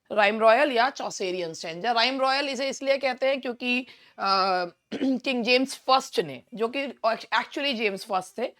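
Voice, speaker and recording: An Indian woman with a hoarse, sore throat teaching an online English exam-prep lesson in a chatty way, often clearing her throat. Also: fairly high pitch, a bit bright.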